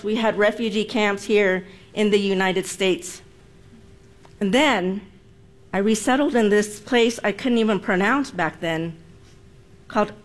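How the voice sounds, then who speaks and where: A middle-aged woman from Vietnam, whose first language is Vietnamese, giving a prepared speech to a live audience in a slow, measured storytelling manner. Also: medium pitch. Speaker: speaker. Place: stage before a live audience.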